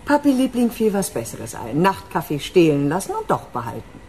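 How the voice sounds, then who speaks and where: Radio drama villainess: scheming, doting daughter, animated pitch, syrupy smugness, faint noise. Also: female voice, brisk, creaky, full character voice, poor audio. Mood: smug. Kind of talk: radio crime drama acting.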